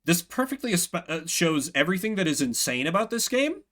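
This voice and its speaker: A man streaming a game with casual, amused, off-the-cuff commentary, talking quickly. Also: high voice, big pitch swings, fairly bright tone.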